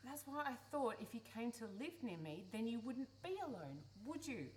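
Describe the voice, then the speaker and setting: Radio drama daughter played by a woman: fairly high voice, brisk, pushy organiser, exasperated, slight background noise. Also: sing-song. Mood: pleading, encouraging. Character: pushy, organising adult daughter of a widowed farm mother. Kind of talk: live radio play acting. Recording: noisy live theatre recording.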